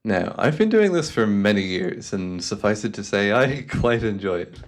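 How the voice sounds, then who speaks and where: A man's voice: lowish voice, big pitch swings. A man playing a courteous, devious hypnotist who speaks in a formal, soothing, theatrical way, as in an ASMR roleplay. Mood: smooth, assured. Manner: suave, formal, unhurried, theatrical. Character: devious, courteous male hypnotist guiding a client.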